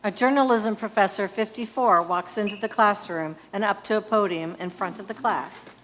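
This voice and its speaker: A woman reading screenplay stage directions in a crisp, matter-of-fact way. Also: mid-range voice, normal speed, fairly bright tone, slight background noise. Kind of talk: scripted narration. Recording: long hall reverb.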